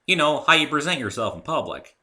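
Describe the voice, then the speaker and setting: A man's voice: fairly high pitch, very animated, a little fast, bright, forceful tone, voice pushed up, exaggerated voice. A man voicing a hearty, stubborn young farm mare, a folksy cartoon pony with a Southern country accent, in a story reading.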